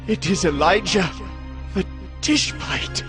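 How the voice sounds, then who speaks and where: A man playing an anxious, ailing king in dramatized scripture audio, speaking with halting, breathy dread. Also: high voice, animated, music in the background, exaggerated voice. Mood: alarmed.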